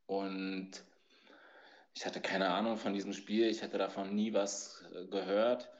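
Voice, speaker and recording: A man's voice: deep voice, level tone, measured pace, muffled recording. A man in his forties from Berlin, a youth basketball coach telling stories as a podcast guest, a bit tired and hesitant. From Berlin, Germany. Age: 40s. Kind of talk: casual storytelling.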